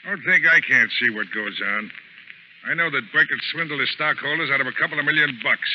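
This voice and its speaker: An actor playing a cocky smuggler pilot in an old radio drama, with sarcastic, slangy tough talk. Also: male voice, mid-range voice, fairly quick, close to an everyday voice. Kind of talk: 1930s radio acting. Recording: dull, hissy vintage radio recording.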